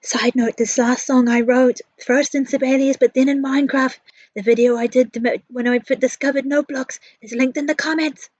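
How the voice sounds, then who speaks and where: A woman's voice: high-pitched, steady tone, normal speed, a little mellow, highs cut off. A woman with a New Zealand accent giving a casual, chatty voice-over for a gaming build vlog, candid and self-deprecating. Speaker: video creator, narrator.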